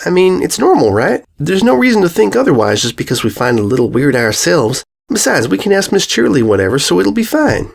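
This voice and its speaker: A man's voice: sits high, big pitch swings, brisk, creaky, hardly any pauses, pitched above the performer's own voice, heavily acted. A man voicing an earnest, outspoken young country schoolgirl pony in a storybook reading, folksy and forthright. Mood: reassuring.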